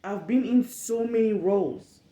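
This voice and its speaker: A woman's voice: mid-range voice, a little slow, warm tone, echoey room, poor audio. A woman in her late twenties from Cameroon with a Cameroonian accent, hosting a candid solo podcast, frank and emphatic with repetition. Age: late 20s. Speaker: podcast host.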